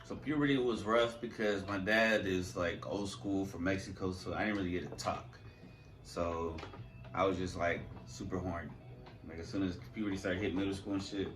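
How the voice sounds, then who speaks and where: A man co-hosting a casual podcast, telling rough stories in a relaxed, swearing way. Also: sits low, moderate pace, soft background music. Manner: laid-back, swearing storyteller. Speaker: podcast co-host. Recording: echoey room, mic at a distance.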